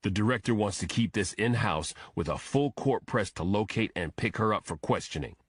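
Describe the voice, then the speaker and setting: A man's voice: on the low side, animated, normal speed, breathy edge, no room sound, clean studio sound. A man voicing a serious FBI agent who briefs a colleague in a terse, official way, in an audiobook. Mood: official, intent. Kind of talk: audiobook character dialogue.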